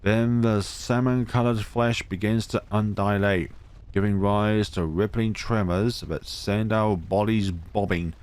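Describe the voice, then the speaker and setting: A man's voice: lowish voice, fairly even intonation, unhurried, darkish tone, smooth. A man reading a visual novel aloud in a dramatic way, adding asides and correcting his own stumbles. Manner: theatrical, stumbles and corrects himself. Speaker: let's play host. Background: music.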